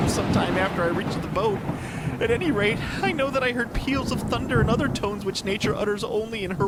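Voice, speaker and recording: A man's voice: high voice, heavy background noise, voice pushed up. A man voicing a haunted, morphine-addicted shipwreck survivor in an anguished, theatrical horror-story confession. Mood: frantic, unhinged. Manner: theatrical, anguished, ornate first-person confession. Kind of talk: dramatic audio horror storytelling.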